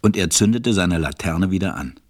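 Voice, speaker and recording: A man's voice: sits low, lively intonation, moderate pace, no room sound, studio quality. A man narrating a storybook audio drama from a script.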